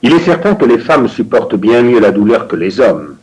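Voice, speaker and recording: A man reading scripted dialogue for a language course with an expressive, theatrical delivery. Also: medium pitch, moderate pace, breathy edge, poor audio.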